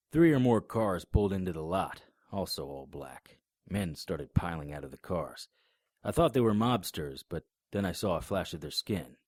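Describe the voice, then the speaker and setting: A man voicing a hard-boiled 1920s private eye, narrating in a laconic, deadpan noir style. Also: sits low, no room sound, studio quality. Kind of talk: first-person noir narration.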